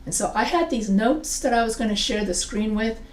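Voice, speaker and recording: A woman's voice: on the high side, moderate pace. A middle-aged woman, a professor of English composition, giving an unscripted lesson to her webcam and gesturing a lot as she explains. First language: not French. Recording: echoey room, a bit far off.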